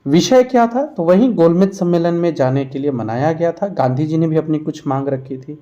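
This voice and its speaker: Man, slightly high pitch, very mellow tone, teacher, explanatory question-and-answer revision delivery. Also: moderate pace, lo-fi sound. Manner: explanatory, reads each question aloud then answers it. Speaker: teacher for competitive exam preparation.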